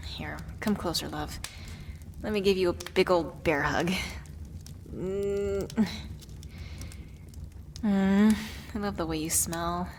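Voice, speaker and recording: A woman's voice: fairly low pitch, steady tone, takes it slowly, long pauses, a little mellow. A woman playing a worried, affectionate witch who tenderly scolds a beloved partner in an ASMR roleplay audio drama. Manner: playfully exasperated, tender scolding with sighs. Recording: clear background ambient noise.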